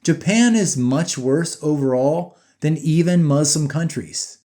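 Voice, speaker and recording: A man's voice: on the high side, unhurried, warm tone. A man delivering scripted opinion narration in a blunt, emphatic, scornful manner. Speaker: video narrator.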